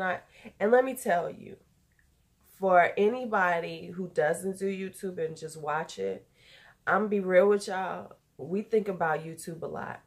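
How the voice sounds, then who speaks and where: A woman in her forties with a stuffy, nasal voice, losing her voice, chatting loosely and drifting off topic in an unedited beauty vlog from home. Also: lowish voice. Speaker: beauty YouTuber.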